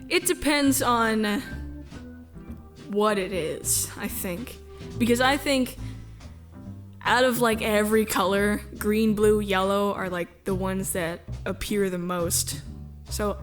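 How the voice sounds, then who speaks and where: A woman from the US Midwest chatting casually as an interview guest, easygoing, rambling and quick to laugh. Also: mid-range voice, lively intonation, faint music behind. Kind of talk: casual chat.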